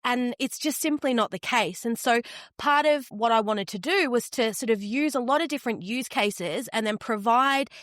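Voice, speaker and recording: A woman's voice: on the high side, normal speed, a bit bright, no room sound, clean studio sound. A woman with an Australian accent and a slightly nasal, head-cold sound, explaining ideas as a podcast guest. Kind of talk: conversational interview.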